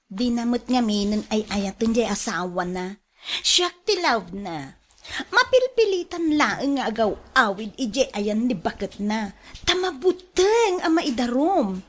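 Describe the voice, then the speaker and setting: A woman's voice: fairly high pitch, very animated, a little slow. A woman playing a clingy, petulant young mistress in a radio drama, whining and wheedling with an Ilocano accent. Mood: lovestruck, pouting.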